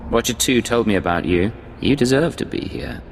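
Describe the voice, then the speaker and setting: An actor playing a blunt, guarded imperial secret agent in a video game, terse and dry. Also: male voice, mid-range voice, expressive, moderate pace, slight background noise, natural voice.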